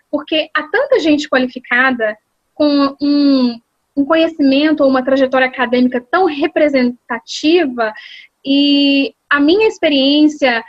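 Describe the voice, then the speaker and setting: A woman's voice: high voice, no room sound, muffled recording. A Brazilian woman working as an executive secretary, answering interview questions thoughtfully with frequent fillers and restarts over a video call. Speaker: executive secretary and English teacher.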